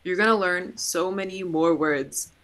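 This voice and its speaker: Young woman, bright tone, lowish pitch, casual podcast chat, lots of fillers. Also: a little slow. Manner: chatty, laughs often, many "like" fillers. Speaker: podcast guest.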